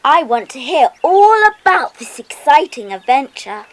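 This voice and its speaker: A woman's voice: high voice, big pitch swings, measured pace, poor audio. A woman playing a wheedling, effusive little schoolgirl in a children's audio drama. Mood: pleading, admiring. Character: Susie, pleading, admiring little schoolgirl sister.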